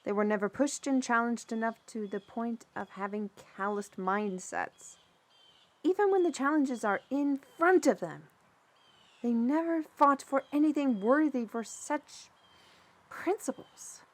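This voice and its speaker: A woman's voice: a little high, expressive, unhurried. A woman acting an outspoken, blunt character in an audio drama, speaking formally and earnestly with stern conviction. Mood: stern, impassioned.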